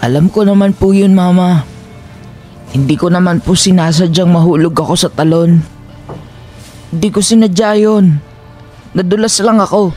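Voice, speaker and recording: Man playing a soft-spoken young prince. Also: high-pitched, moderate pace, long pauses, lightly acted. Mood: dutiful. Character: young prince, polite and grateful. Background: faint music.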